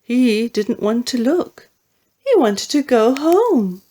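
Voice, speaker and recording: A woman narrating a children's storybook in an expressive, deliberate way. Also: fairly high pitch. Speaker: audiobook narrator.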